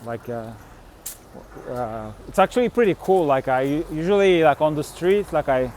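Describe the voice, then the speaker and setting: Rambling man, slightly high voice. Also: expressive, darkish tone, a little hiss or hum. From Turkey. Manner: halting, full of "you know" and "like" fillers. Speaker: touring musician and vlogger. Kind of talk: casual chat.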